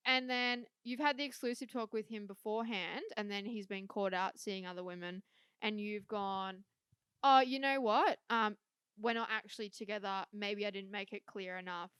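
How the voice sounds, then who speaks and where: A woman's voice: mid-range voice, a bit bright, clean tone, no room sound. A young woman co-hosting a casual podcast, chatty, swearing freely and using lots of fillers. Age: young adult. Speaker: podcast co-host. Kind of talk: casual chat.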